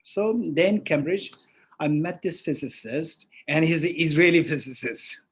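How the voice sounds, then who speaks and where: A man's voice: a little high, measured pace, husky. A middle-aged man with as his gives a Buddhist teaching, asking himself questions and answering them, with many restarts. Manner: lively, rhetorical self-questioning with frequent restarts. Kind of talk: dharma teaching.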